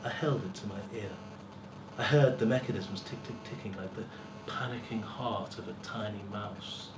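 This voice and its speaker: A man's voice: fairly low pitch, fairly even intonation. Theatrical male storyteller, recorded from across a room. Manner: theatrical, deliberate, with pauses and swelling emphasis. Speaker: story reader at a live festival. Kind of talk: dramatic first-person story reading. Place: small lecture room with seated audience. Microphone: far camera. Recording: roomy, distant camera mic, faint hiss.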